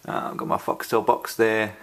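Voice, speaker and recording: An Australian man giving a casual unboxing walkthrough at home, halting and full of ums and restarts. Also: lowish voice, little pitch movement. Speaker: tech reviewer. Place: indoors at home, filming the box up close.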